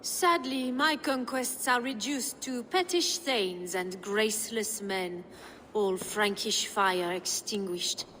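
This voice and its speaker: A woman's voice: medium pitch, slow pace, fairly bright tone, long reverb tail, slight background noise. A woman playing a proud, disdainful Frankish noblewoman in a game cutscene, speaking with weary formality. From Francia. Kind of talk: dramatic game cutscene acting.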